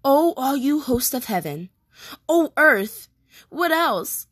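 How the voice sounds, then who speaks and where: A woman playing an anguished, vengeful young prince in a declamatory Shakespearean stage monologue with dramatic pauses. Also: sits high, very animated, fairly bright tone, dry recording, clean studio sound, exaggerated voice. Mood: anguished, appalled. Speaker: performer rehearsing a soliloquy. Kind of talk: theatrical Shakespearean monologue, repeated takes.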